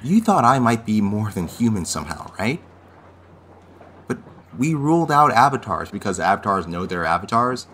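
Hesitant, low-voiced teenage boy. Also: big pitch swings, fairly bright tone, a little hiss or hum. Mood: earnest theorizing. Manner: hesitant, halting, stammering. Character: anxious, earnest teenage boy stranded in fantasy world.

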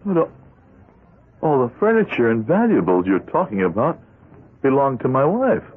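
Husky, flustered, desperate accountant. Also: male voice, mid-range voice, wildly swooping pitch. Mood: flustered, pleading. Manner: flustered, pleading, halting. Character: desperate, cornered accountant with gambling debts. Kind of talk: 1970s radio drama acting. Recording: muffled old radio broadcast with music.

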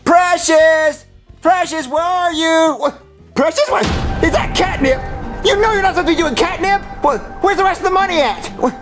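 Man playing excitable high-pitched naive young boy. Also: fairly quick, over music. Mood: alarmed. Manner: stammering, exclaiming. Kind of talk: comedy skit acting.

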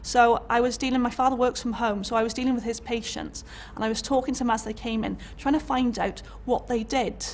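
A woman's voice: on the high side, steady tone, brisk, hardly any pauses, darkish tone, slight background noise. A young woman with a Welsh accent, a college lecturer, talking candidly about her career in an interview, chatty with many fillers and restarts. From Wales. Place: indoor college room.

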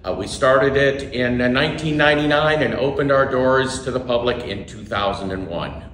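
A man's voice: mid-range voice, moderate pace, a bit bright, a little hiss or hum. A middle-aged man from Washington state, a winery co-owner, speaking in a friendly, upbeat way with some filler sounds. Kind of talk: promotional to-camera talk. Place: tasting room at a wooden bar. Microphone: camera a few feet away. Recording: roomy indoor echo.

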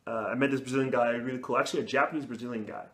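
A young man sits on a living room couch as the guest in a relaxed chat. His voice sits at an ordinary mid pitch, and he talks with friendly enthusiasm, filling pauses with lots of "uh" and "like.". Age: young adult. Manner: friendly, enthusiastic, many fillers. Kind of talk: casual interview chat.

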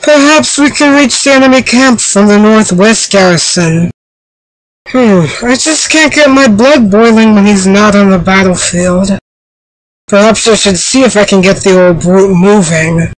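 A woman's voice: measured pace, no echo, lightly acted. A woman voicing a proud, stern young samurai warrior woman for a video game, with formal, haughty delivery on an amateur microphone. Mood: haughty, challenging. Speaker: amateur voice actor. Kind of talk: video game character voice reel. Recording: low-quality amateur microphone.

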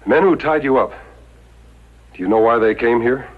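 An actor playing a calm, resolute masked Western hero in 1950s radio style, speaking with measured authority. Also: male voice, medium pitch, close to an everyday voice. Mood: calm, firm. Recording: muffled, noisy old radio recording.

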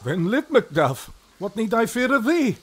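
A man's voice: extremely high pitch, very animated, moderate pace, husky, heavily acted. An actor playing a haunted, ruthless warrior king in a declamatory Shakespearean radio drama. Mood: defiant, commanding. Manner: declamatory, volatile.

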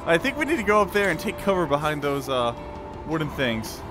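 A man giving excited live gameplay commentary in short, exclamatory bursts, talking to himself as he plays. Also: on the high side, a little fast. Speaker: gamer playing and commenting. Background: game music.

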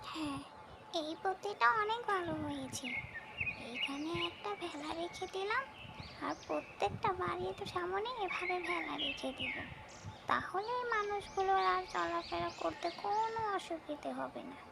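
A woman voicing a kind-hearted female ghost in a Bengali cartoon, with a creaky voice. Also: very high voice, moderate pace, heavy background noise. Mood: pleased, caring. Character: kind-hearted female shakchunni ghost watching over villagers. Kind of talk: Bengali cartoon character voice acting.

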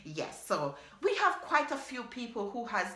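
A woman's voice: fairly high pitch, unhurried. A Jamaican woman in her thirties talking casually to her phone camera in a small home kitchen, chatty and laughing often. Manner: chatty, animated, laughs often, repeats "yes, guys". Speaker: vlogger. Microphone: phone camera at arm's length. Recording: echoey kitchen.

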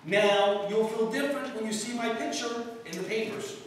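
A man playing a brash, self-serving boyfriend in an old-time radio drama, brisk, bossy and excitable. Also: high-pitched, close to an everyday voice. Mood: self-important. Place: live stage in a hall, distant audience camera. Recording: echoey hall stage from far off, noisy.

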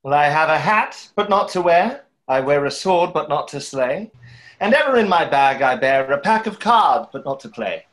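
A man's voice: on the high side, lively intonation, moderate pace, a bit bright, poor audio. A young man playing a mysterious cloaked stranger who poses as a fairy, speaking in lofty, poetic riddles in a play reading. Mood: playful, mysterious. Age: young adult. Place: small room at home, on a video call.

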